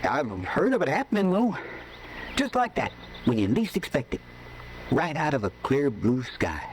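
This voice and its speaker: A lonely charming drifter sweet-talking low and soft, old hissy radio play. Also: male voice, very animated, normal speed. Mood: coaxing. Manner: soft, low, coaxing talk. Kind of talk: 1950s radio drama acting.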